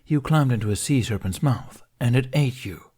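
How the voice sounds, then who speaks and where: A fierce dragon companion from a fantasy audiobook, performed by a male reader. He is protective and exasperated, speaking forcefully with a worried, husky voice. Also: mid-range voice, lively intonation, normal speed, pitched above the performer's own voice. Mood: grudging, threatening.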